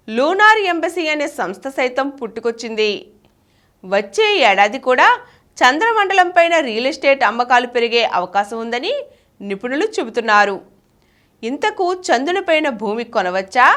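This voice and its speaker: A woman reading a current-affairs article as scripted narration, in a formal, clearly phrased way. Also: high voice, fairly bright tone.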